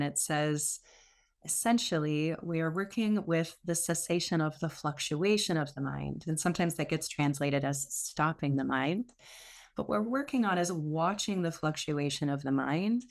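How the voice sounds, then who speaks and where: A woman teaching meditation, giving gentle, soothing spoken instruction with unforced phrasing and long pauses. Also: sits low.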